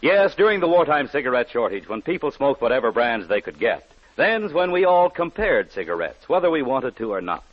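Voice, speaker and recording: A man's voice: medium pitch, sing-song, a little fast. A man announcing a 1940s radio advertisement in an emphatic, salesmanlike way. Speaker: commercial announcer. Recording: dull 1940s radio recording.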